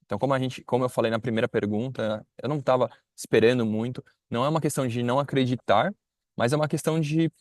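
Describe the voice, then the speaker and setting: A man's voice: mid-range voice, steady tone, fast, no room sound, clean studio sound. A young man with a Brazilian accent giving informal interview answers, hesitant and often rephrasing himself. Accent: Brazilian Portuguese. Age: young adult. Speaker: student filmmaker interviewee.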